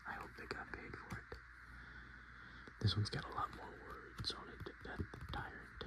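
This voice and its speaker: A man speaking softly and in whispers, chatting casually as an ASMR host with an unhurried, self-deprecating manner. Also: low-quality recording. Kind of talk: soft-spoken and whispered ASMR, casual chat.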